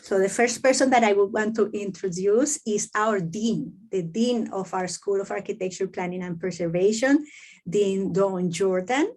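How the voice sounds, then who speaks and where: Middle-aged woman from Venezuela, halting. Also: mid-range voice, darkish tone. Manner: hesitant, many fillers and restarts. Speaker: professor presenting to admitted students. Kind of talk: online info session talk.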